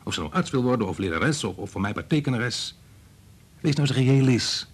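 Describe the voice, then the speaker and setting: A man's voice: mid-range voice, lively intonation, fairly quick, slightly rough. An actor playing an impatient, short-tempered scientist father in a Dutch radio play, lecturing in an exasperated way. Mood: tense, arguing.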